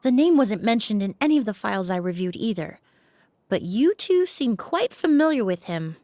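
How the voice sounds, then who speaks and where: An actress playing a composed young woman perfumer in a video game, measured and politely probing. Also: medium pitch, big pitch swings, a little mellow, no room sound.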